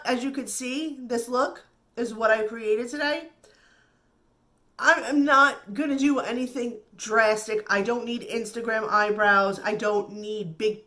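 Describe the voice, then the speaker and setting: A woman's voice: fairly high pitch, bright, forceful tone. A woman with a slightly nasal voice, getting over the flu, chatting casually and reassuringly through a makeup tutorial. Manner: chatty and reassuring, repeats words. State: recovering from flu. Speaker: beauty vlogger.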